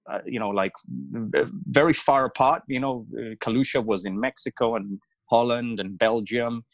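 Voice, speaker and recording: A man's voice: lowish voice, no room sound. A man in his early forties, a former footballer raised in Zambia and Wales, talking about his background with many fillers and restarts. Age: early 40s. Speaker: former international footballer guest. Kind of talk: casual reflective interview talk.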